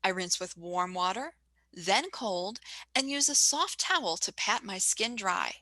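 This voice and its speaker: A woman's voice: medium pitch, expressive, measured pace, pushed and bright, dry recording. A woman playing a chatty, gushing Hollywood reporter delivering 1940s-style radio advertising patter. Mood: gushing, friendly.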